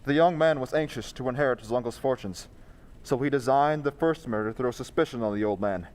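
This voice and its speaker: A man playing a calm detective hero in an old-time radio drama, explaining things in a declamatory way. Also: medium pitch, fairly quick, slight background noise, natural voice. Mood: calm, explanatory. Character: male detective hero Lamont, calm, explaining a murder case.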